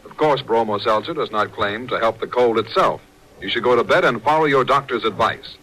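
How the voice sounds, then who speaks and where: A man's voice: medium pitch, a little fast, a bit bright, breathy edge, rough recording. A man announcing a commercial in 1940s radio style, with polished, emphatic salesmanship.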